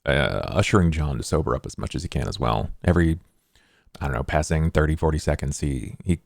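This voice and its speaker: A man's voice: rumbling low, a little fast, creaky, no room sound. A man playing a tabletop role-playing game, talking in a laid-back, hesitant way. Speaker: player.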